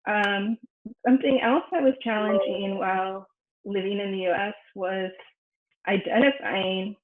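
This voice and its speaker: A woman researcher whose first language is Spanish, giving a personal academic presentation with many pauses and fillers. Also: medium pitch, steady tone, heavy background noise. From United States. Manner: thoughtful, frequent fillers and restarts. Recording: echoey room over a phone-like line.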